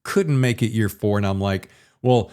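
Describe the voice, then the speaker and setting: A man's voice: lowish voice, moderate pace, a little mellow, clear, resonant tone. A man hosting a podcast interview in a casual, playful, effusive and informal way, bantering with his guest. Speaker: podcast host interviewing an author.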